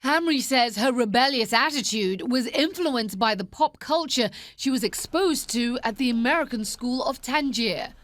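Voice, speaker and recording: A woman's voice: on the high side, expressive, normal speed, hardly any pauses, fairly bright tone, dry recording. A woman reporter reading scripted narration for a news profile.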